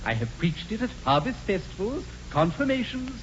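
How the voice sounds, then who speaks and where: An actor playing a fussy, pompous English country clergyman in a 1940s radio comedy, with an unctuous, drawn-out delivery. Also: sits high, sing-song, a bit bright. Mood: fussy, obliging. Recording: dull, noisy 1940s radio broadcast.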